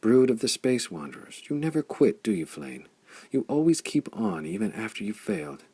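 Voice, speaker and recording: Devoted young woman, tired of war, as a male reader puts her on, lightly raised husky voice, soft delivery. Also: medium pitch, big pitch swings, normal speed, pitched above the performer's own voice, exaggerated voice. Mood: tender. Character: devoted young heroine, weary but loving.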